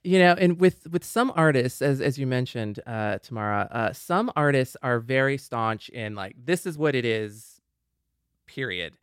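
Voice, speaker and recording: A man in a casual panel discussion, explaining his view in a reflective, measured way. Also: mid-range voice, animated, fairly bright tone, clean tone, dry recording, clean studio sound. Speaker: podcast panelist.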